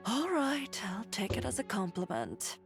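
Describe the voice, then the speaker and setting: A reserved young woman in a video game. The delivery is cool and slow, with a creaky edge and dry restraint. Music is clearly heard behind. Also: medium pitch, wildly swooping pitch, exaggerated voice.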